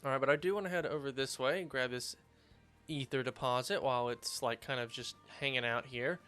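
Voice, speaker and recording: A slightly hoarse man hosting a video game playthrough, chatting casually with lots of fillers while standing at his home setup. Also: medium pitch, steady tone, fairly quick, fairly bright tone, faint music behind. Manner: rambling, filler-heavy, upbeat. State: slightly hoarse after surgery. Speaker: let's play host. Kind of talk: casual gameplay commentary.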